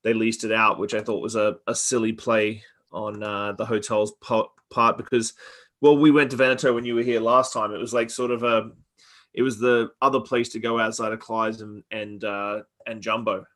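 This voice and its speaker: A man's voice: fairly low pitch, level tone, moderate pace, a bit bright. A man who grew up in Australia, hosting a podcast in casual chat and rambling with many fillers and restarts. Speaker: podcast host. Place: Victoria, Canada.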